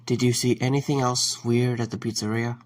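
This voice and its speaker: A man playing a wry, concerned young man in an audio drama, speaking in a low-key, halting way. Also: flat delivery, natural voice. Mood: grave, determined.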